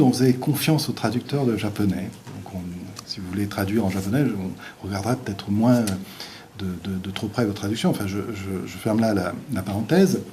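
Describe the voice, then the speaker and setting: Halting, mellow-voiced male lecturer. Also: on the low side, slight background noise. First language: Portuguese. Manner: halting, many restarts and filler sounds. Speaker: visiting university lecturer. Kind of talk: academic lecture. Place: university lecture room.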